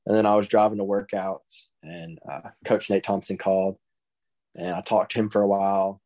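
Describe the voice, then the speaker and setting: A man's voice: low voice, little pitch movement, moderate pace, warm tone. A teenage boy from Texas with a Southern accent, answering interview questions in a laid-back way and saying "I mean" constantly. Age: teens. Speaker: guest, high school baseball recruit.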